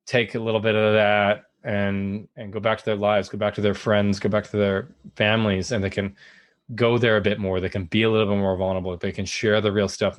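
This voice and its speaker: Low-voiced man in earnest casual chat. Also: no echo. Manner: rambling, earnest, laughs easily. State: hot and sweating. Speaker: podcast guest, men's group facilitator. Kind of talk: casual conversational interview.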